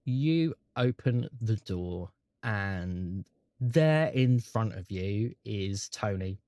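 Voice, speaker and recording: Casting: game master narrator, unhurried, descriptive, halting phrases, dry recording. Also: medium pitch, expressive, dark tone, no echo. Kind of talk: narrating a tabletop roleplaying game.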